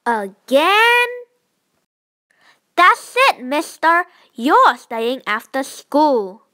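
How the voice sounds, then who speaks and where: Lady doing a strict fed-up teacher from a picture book, sharp high scolding. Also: big pitch swings, extremely slow, heavily acted. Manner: scolding, commanding. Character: exasperated, strict schoolteacher scolding a pupil.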